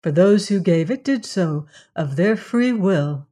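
A kind young princess from a storybook, read by a woman in a gentle, reassuring voice. She speaks slowly and graciously. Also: on the low side, lively intonation.

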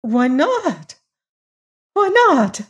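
A woman's voice: lowish voice, steady tone, moderate pace, close to an everyday voice. A woman voicing a haughty, frivolous and frightened society lady in an audiobook story, with a stammering, pleading, indignant manner. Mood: whimpering, pleading.

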